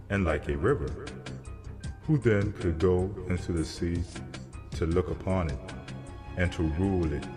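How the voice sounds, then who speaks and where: Man voicing a deep, echoing, solemn angel. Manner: grave, measured, declaiming. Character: solemn, commanding male angel delivering divine parables. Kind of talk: dramatized scripture reading. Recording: long cavernous reverb under music.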